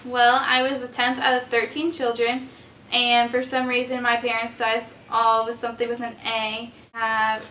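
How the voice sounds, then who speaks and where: A young woman playing a male satirist author who recounts his life in a matter-of-fact way. Her voice is bright and a little high, with a flat, even delivery. The sound is echoey, with faint hiss behind it. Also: normal speed. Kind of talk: school project mock talk show.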